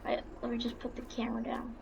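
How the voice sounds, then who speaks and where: A woman doing casual, halting roleplay commentary over a farming game, excitable and given to exclaiming. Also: a little high, creaky, highs cut off. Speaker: gaming video creator. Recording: noisy, with vehicle engine sounds.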